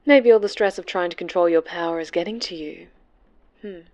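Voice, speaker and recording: A woman playing an obsessive, possessive winged superhero in a horror ASMR roleplay, sweetly menacing and taunting, often slipping into breathy whispers. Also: on the low side, a little mellow, muffled recording, natural voice. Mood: mocking, sweet.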